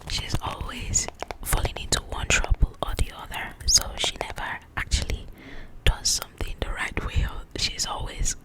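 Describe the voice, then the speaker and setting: A woman hosts ASMR in a soft, breathy whisper with no voiced tone. Her talk is informal and chatty, wandering along as she addresses viewers directly. The delivery stays hushed, like a casual one-sided chat. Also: moderate pace, low-quality recording. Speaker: ASMR video host.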